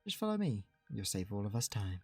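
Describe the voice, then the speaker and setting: A man's voice: on the low side, sing-song, brisk, breathy edge, no room sound, soft background music, exaggerated voice. A man voicing a taunting, smug woman villain in a visual novel, mock-sweet and condescending, in a reading of the game. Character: Kat, taunting, smug woman villain running a deadly show.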